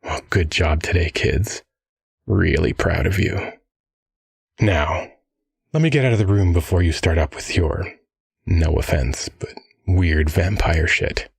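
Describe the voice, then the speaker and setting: A man's voice: deep voice, a little slow, vocal fry, long pauses, breathy edge, no room sound, clean studio sound, exaggerated voice. A man playing a rich, affable but menacing crime-boss father in an ASMR audio drama, breezy, doting and casually threatening. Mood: cheerful, proud.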